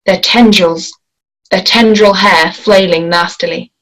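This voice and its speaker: A woman reading a screenplay aloud in a measured, descriptive way, giving action lines and scene headings. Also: fairly low pitch, steady tone, a bit bright, rough recording. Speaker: script reader. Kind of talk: screenplay table read.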